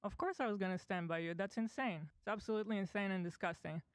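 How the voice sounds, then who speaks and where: A man's voice: high voice, fast talker, clear, resonant tone, dry recording. A man on a podcast, speaking in an earnest, aggrieved way. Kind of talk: podcast chat.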